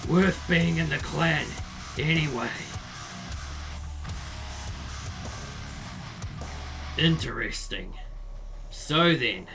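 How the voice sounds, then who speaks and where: A man's voice: medium pitch, gravelly, sharp tone, exaggerated voice. A man playing a cocky, sadistic teenage boy in a visual novel, with a taunting, theatrical delivery. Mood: cold disdain. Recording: music behind the voice. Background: music.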